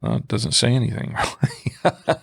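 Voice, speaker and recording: A man's voice: medium pitch, huge pitch swings, normal speed, vocal fry, a bit bright, breathy edge. A man co-hosting a podcast, talking through a product in a conversational way, hesitating and repeating himself as he goes. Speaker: podcast co-host.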